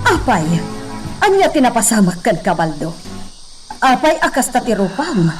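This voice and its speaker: A woman's voice: fairly high pitch, big pitch swings, moderate pace, soft background music. A woman playing an authoritative lady of a farm estate, questioning others in an Ilocano radio drama. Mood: concerned. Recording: faint background noise.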